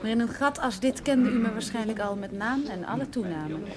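Woman acting defiant young secretary in a tv drama, polite but direct, highish voice, lots of people babbling loudly. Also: expressive, fairly quick, lightly acted. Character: proud, defiant, unbelieving secretary, a sinful outsider. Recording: loud crowd of voices behind.

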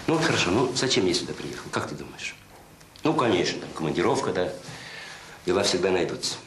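An actor playing an awkward, shy, kind-hearted middle-aged man in a Soviet radio drama, talking in a halting, self-conscious, informal way. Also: low voice, very animated, far from the mic. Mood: nervous, earnest. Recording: roomy, clearly noisy old radio play recording.

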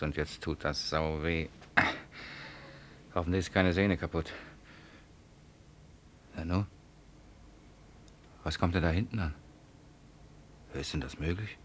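A man's voice: sits low, slight background noise. A man acting in a radio play as a flustered, injured company director, stammering and exclaiming in disbelief.

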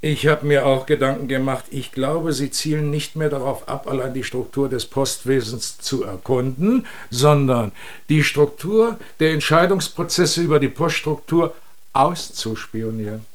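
An actor playing a brusque, earnest secret police superior in a radio drama, speaking like an officious bureaucrat. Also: male voice, mid-range voice, unhurried, natural voice.

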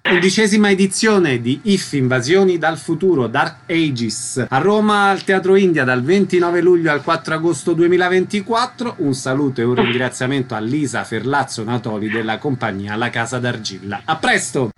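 A woman's voice: low voice, animated, moderate pace, few pauses, a little hiss or hum. A woman hosting a scripted radio interview, introducing guests and putting questions to them.

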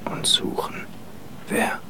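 An actor playing a blunt, jittery young sailor speaking in hushed tones in a radio drama. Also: male voice, takes it slowly, in a whisper, close to an everyday voice. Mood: uneasy, incredulous.

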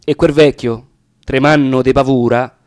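A man with a Roman accent reciting narrative dialect verse theatrically, with deliberate, expressive pauses. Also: medium pitch. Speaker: actor reading poetry.

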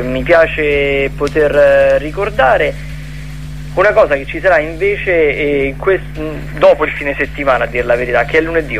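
A man's voice: medium pitch, dull audio, a little hiss or hum. A man co-hosting a radio show, giving casual event listings in a rambling, hesitant way. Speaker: radio co-host.

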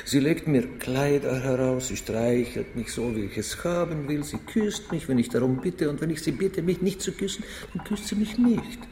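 An actor playing an earnest, naive, pedantic Viennese logician, formal and polite, in a radio drama. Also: male voice, a little high, moderate pace, few pauses, warm tone, reverberant, slight background noise, natural voice. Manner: formal, literal-minded, polite.

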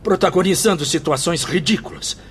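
A man's voice: high-pitched, brisk, a little hiss or hum. An actor playing a tormented, remorseful man crazed by jealous passion in a theatrical radio drama. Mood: bitter remorse. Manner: theatrical, anguished, swinging from confession to frenzy. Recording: loud dramatic music behind the voice.

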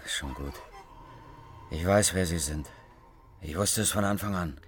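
Low, blunt small-town man. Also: unhurried. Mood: blunt, knowing. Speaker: actor in crime radio drama. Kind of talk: naturalistic radio drama dialogue.

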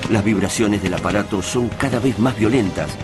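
A man's voice: fairly low pitch, moderate pace, natural voice. A man delivering solemn, literary narration for a radio drama. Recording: loud music and gunfire behind.

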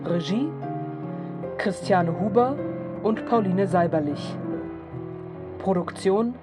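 A woman's voice: mid-range voice, slow, lightly acted. A woman as a sober announcer in a radio play, reading scripted text in a formal, factual way. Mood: measured, formal. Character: sober female news reader reporting a court case. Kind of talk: scripted news-style narration and credits. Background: music.

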